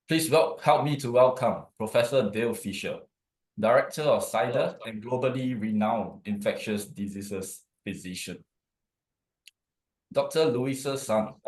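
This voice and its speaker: Low-voiced formal male host, echoey room. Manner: formal, measured presenting. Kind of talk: scripted webinar introduction.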